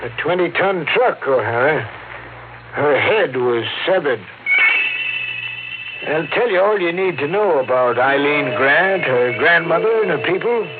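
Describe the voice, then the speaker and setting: Tinny old radio, grave county sheriff, higher-pitched, halting, animated intonation, 1950s horror drama. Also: male voice, background noise. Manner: halting, deliberate. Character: grave male rural county sheriff, unnerved.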